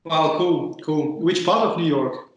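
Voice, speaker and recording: Host, male, Russian accent, fairly high voice, stop-start phrasing, very echoey room. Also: a little slow, a bit bright, highs cut off. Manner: hesitant, repeats words while searching for phrasing. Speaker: podcast host. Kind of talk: interviewing a guest over a call.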